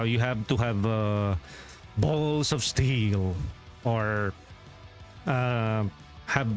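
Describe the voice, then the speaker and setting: Rambling Filipino man streaming a game. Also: medium pitch. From Philippines. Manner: rambling, many "uh" fillers, chuckles to himself. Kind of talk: casual live-stream chat.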